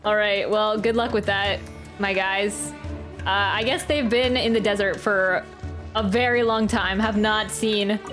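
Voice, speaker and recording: A woman's voice: mid-range voice, steady tone, a little slow, a bit bright. A woman giving casual, chatty live commentary while she plays a video game. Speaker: game streamer. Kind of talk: live gameplay reaction commentary; casual chat. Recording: game music behind the voice. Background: video game music.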